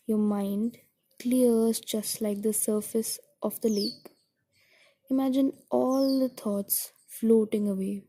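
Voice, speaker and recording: A woman guiding a meditation in a soothing, gentle, deliberate way, with a soft, breathy voice that trails toward a whisper. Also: on the high side, a little hiss or hum. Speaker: meditation guide. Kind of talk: guided meditation narration.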